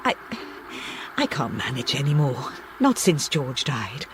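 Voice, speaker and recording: An actress playing a gentle, weary widowed farm woman in a radio play, speaking hesitantly and apologetically. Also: very deep voice, big pitch swings, a little slow, very noisy, heavily acted. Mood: hesitant, apologetic.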